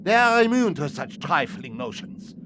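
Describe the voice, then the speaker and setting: An actor playing a haughty, coldly menacing schemer in a video game cutscene, with pompous, formal diction. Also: male voice, on the high side, sing-song, moderate pace, noisy background. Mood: disdainful, grave.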